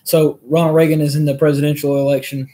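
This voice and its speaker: A man co-hosting a podcast in casual chat, telling stories in a rambling way with quick asides. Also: a little high, flat delivery, dark tone. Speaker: podcast co-host.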